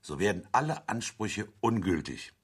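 Radio drama casting: shrewd, insistent claimant, very low raspy voice, articulate and pointed, animated intonation. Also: male voice, moderate pace. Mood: argumentative, precise. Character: shrewd, insistent widower pressing a dubious insurance claim. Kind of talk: German radio drama acting.

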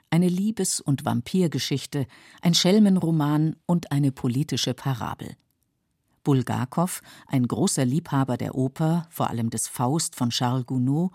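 Mellow, husky woman narrator. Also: sits low, no echo, studio quality. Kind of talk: scripted documentary narration.

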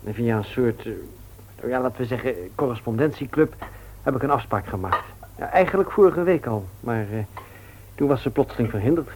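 An actor playing an amiable, slightly sheepish grown-up son in a Dutch radio play, casual and a little hesitant. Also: male voice, mid-range voice, expressive, normal speed, natural voice. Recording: noisy old radio play recording.

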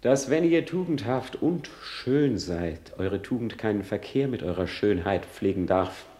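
Bitter, unstable young prince, classical radio drama. Highly animated, swinging from hush to shriek, roomy sound. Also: male voice, a little slow. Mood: probing, wistful. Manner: theatrical, swinging from hushed to shrieking. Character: bitter, erratic young prince feigning madness. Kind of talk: classical stage tragedy in German radio drama. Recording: roomy, reverberant studio sound.

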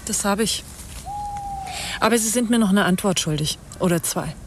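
A woman's voice: mid-range voice, normal speed, close to an everyday voice. An anxious woman investigator in a radio thriller, voiced by an actress. She sits in a medium register and presses urgently and insistently, with some background noise around her. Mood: insistent, uneasy. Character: insistent, anxious female investigator under threat. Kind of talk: modern radio drama acting.

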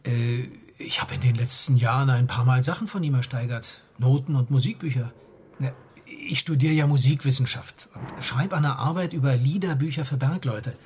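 An actor playing a nervous, eager young musicology student who explains things haltingly in a radio crime drama. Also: male voice, mid-range voice, a little mellow, breathy edge. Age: young adult.

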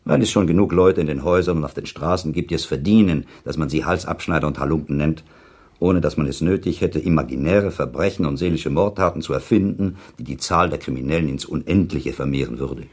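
An actor playing an urbane, cynical listener in a German radio play, arguing formally and with irony. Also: male voice, rumbling low, brisk, darkish tone, husky, exaggerated voice. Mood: argumentative. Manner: ironic, formal, argumentative. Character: cynical, urbane male listener, provocative, argumentative sceptic. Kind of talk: German radio play dialogue, literary debate.

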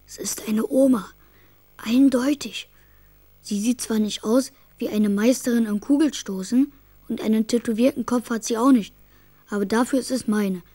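A woman's voice: fairly high pitch, normal speed, dark tone, natural voice. A woman playing an imaginative, secretive and stubborn little schoolboy in a children's radio play, cheeky and musing in manner. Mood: delighted, secretive.

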